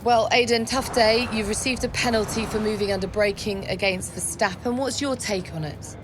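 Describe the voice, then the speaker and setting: A woman's voice: medium pitch, steady tone, moderate pace, noisy background, lightly acted. A woman playing a polite, probing motorsport reporter, professional and direct, in a game interview scene. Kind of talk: game acting of a broadcast interview.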